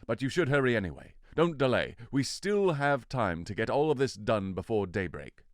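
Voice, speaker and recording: A man's voice: mid-range voice, big pitch swings, normal speed, dry recording, clean studio sound, natural voice. A man playing a commanding fairy king in an audio drama, speaking with theatrical authority and deliberation. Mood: proud, brisk. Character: commanding fairy king, a lordly forest spirit. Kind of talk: audio drama acting of modernized Shakespeare.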